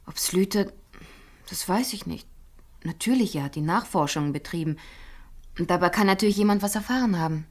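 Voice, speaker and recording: A woman's voice: lowish voice, brisk, pauses often, natural voice. An actress playing a self-possessed, guarded businesswoman in a radio crime play, articulate and matter-of-fact. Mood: composed, explaining. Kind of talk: 1970s radio play dialogue.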